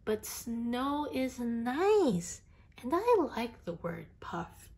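A woman voicing a whimsical, insistent little girl from a picture book, earnest and emphatic with drawn-out words. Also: fairly high pitch, big pitch swings, a little mellow, husky, pitched above the performer's own voice, heavily acted. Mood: earnest, dreamy.

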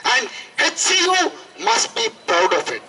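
A man's voice: extremely high pitch, wildly swooping pitch, a little slow, gravelly, heavy background noise. A young man with an Indian accent giving an impassioned protest speech into a handheld microphone, emphatic and repeating phrases for effect. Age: young adult. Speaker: student activist. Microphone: handheld microphone through a PA.

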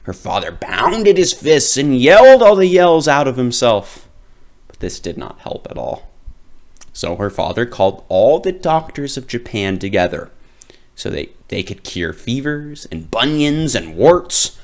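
A man tells a children's story in an expressive read-aloud style. He goes slowly, stretches key words for drama, and lets his pitch swing very widely. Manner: dramatic, drawn-out emphasis.

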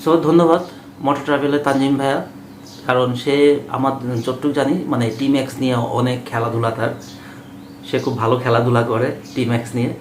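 A Bangladeshi-accented man speaks in a roomy, echoing space with clear noise behind him. His voice is mid-pitched, and he explains things casually and a little hesitantly, in the manner of a vlogger addressing viewers. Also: flat delivery, brisk. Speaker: motorbike vlogger. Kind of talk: casual vlog talk to viewers. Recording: roomy echo, clearly noisy background.

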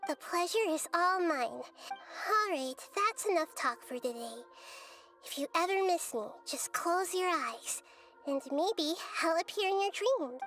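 High-voiced, serene young goddess. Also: female voice, lively intonation, unhurried, fairly bright tone, faint music behind, exaggerated voice. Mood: warm farewell. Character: gentle, wise, childlike young goddess, thoughtful and serene. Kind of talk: video game voice acting.

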